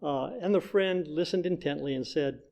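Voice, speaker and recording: An older man giving a prepared personal talk to a church congregation, telling his story in a measured way as a fellow member. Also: on the high side, vocal fry, a little mellow.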